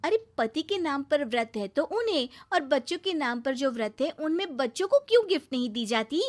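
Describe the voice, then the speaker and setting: A woman voicing an outspoken, questioning young bride in an animated moral story, earnest and emphatic. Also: high voice, normal speed. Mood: earnestly questioning.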